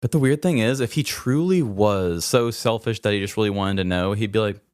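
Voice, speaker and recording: Low-pitched man, podcast co-host. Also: a little fast, a little mellow, clear, resonant tone, no echo, clean studio sound. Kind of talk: scripted true-crime storytelling and casual co-host chat.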